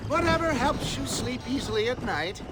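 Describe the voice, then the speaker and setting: A man's voice: very high-pitched, lively intonation, moderate pace. An actor playing a verbose, theatrical old snake oil salesman in a video game, sly, wheedling and self-mocking. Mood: smug. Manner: grandiloquent, wheedling, florid diction. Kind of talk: video game cutscene acting. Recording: clear background noise.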